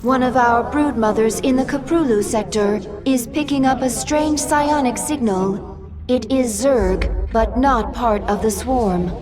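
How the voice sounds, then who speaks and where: A woman voicing a loyal alien adviser in a video game, calm and formal, giving measured reports. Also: fairly high pitch, music in the background, close to an everyday voice. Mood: grave, reporting. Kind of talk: video game cutscene acting.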